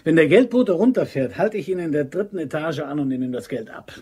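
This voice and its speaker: An actor playing a cocky, scheming amateur robber in a German crime radio drama, speaking in a clipped, cocksure way. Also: male voice, fairly high pitch, expressive, brisk, mellow, slightly rough.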